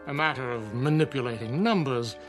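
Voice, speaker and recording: Radio play role for a man: older gentleman, avuncular and wistful, medium pitch, music clearly underneath. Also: lively intonation, fairly quick, natural voice. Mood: earnest. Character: genteel, wistful older male club member. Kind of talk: radio drama acting.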